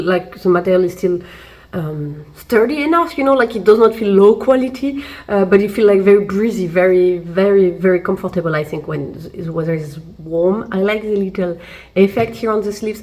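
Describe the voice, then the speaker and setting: Chatty woman vlogger with a fading cold. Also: mid-range voice. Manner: chatty, many fillers and restarts. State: recovering from a cold. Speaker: fashion vlogger. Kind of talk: casual try-on haul review. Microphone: camera mic while seated.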